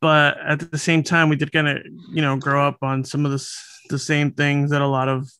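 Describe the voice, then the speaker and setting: A man in his late thirties from Los Angeles, a podcast guest talking in a relaxed, thoughtful way with many fillers and restarts. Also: fairly high pitch, normal speed, smooth. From Los Angeles, USA. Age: late 30s. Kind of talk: casual conversational interview. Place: home room, over a video call.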